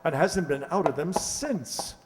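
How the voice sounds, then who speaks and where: A man's voice: fairly high pitch, expressive, a little slow, slight background noise. Man playing a fussy, flustered lord in an echoey hall. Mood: anxious, confiding. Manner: hesitant, fussy, stammering. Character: flustered aristocratic father, an English lord. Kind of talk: radio play acting. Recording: echoey hall, long reverb.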